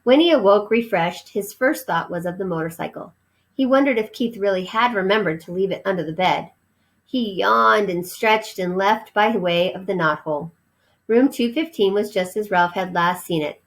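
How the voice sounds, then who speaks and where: A woman reading a children's storybook aloud as narrator, explaining things as if to young listeners. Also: medium pitch.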